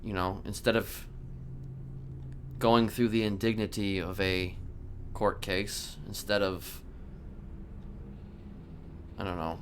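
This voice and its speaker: A man in a game roleplay voicing a calm, sinister criminal manipulator who speaks haltingly and deliberately in formal words. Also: on the low side, a little hiss or hum. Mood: measured, confessional. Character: soft-spoken sinister male criminal manipulator, calmly confessing. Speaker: roleplay streamer. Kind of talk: improvised in-character game roleplay.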